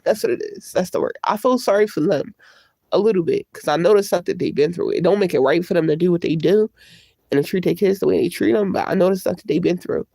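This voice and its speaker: A woman's voice: on the low side, fast talker, vocal fry, mellow, breathy edge, dry recording, studio quality. A woman with a congested, stuffy voice, talking candidly and at length to her livestream viewers through a tablet. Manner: candid, rambling and reflective. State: congested from a cold. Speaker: livestream host. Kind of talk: casual livestream chat.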